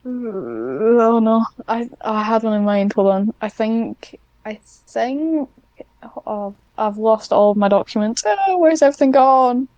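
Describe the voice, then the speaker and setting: A woman talks casually. Her voice is clean and smooth, recorded very dry. She wanders off track and pokes fun at herself. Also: fairly high pitch, lively intonation, slow pace, a little mellow, no room sound, lo-fi sound. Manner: rambling, self-deprecating, easily sidetracked. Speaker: podcast guest, ASMR script writer. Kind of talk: casual chat.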